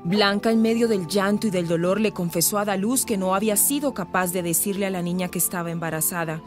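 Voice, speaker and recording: A woman's voice: mid-range voice, steady tone, fast talker. Colombian woman narrating over music. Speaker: radio drama narrator. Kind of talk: dramatic scripted narration.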